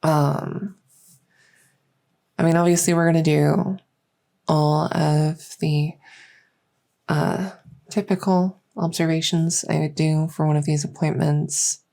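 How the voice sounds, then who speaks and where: A woman playing a gentle, reassuring doctor in a soft-spoken medical roleplay, speaking hesitantly with frequent pauses. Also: deep voice, level tone, vocal fry, heavily acted. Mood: friendly, reassuring. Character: gentle, reassuring female doctor in a fantasy transition clinic. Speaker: roleplay audio performer.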